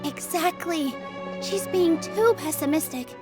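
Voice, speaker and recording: Gentle, very high-voiced young dancer. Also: female voice. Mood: earnest. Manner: gentle, earnest, measured. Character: gentle, caring young female theater dancer, earnest. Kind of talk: video game voice acting. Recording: game music behind the voice. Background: game music.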